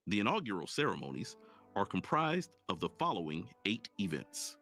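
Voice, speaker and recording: A man reading a scripted informational narration in a measured, formal manner. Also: fairly low pitch, very animated, a bit bright, soft background music.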